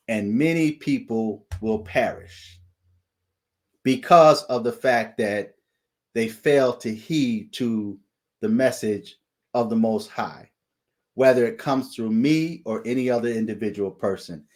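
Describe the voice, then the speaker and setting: A man speaks to his channel in an unhurried, preaching cadence. His voice sits at a middling pitch, and he stretches his words out slowly, stopping often to ask "okay?" before going on. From United States. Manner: deliberate, repeats "okay?" as a check-in. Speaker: channel host sharing dreams and visions. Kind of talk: slow preaching monologue.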